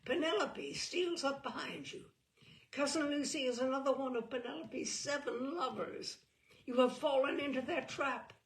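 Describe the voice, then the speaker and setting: A woman reading a book aloud as a storyteller, theatrical and expressive, with a wry, arch delivery. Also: a little high, vocal fry, a little hiss or hum.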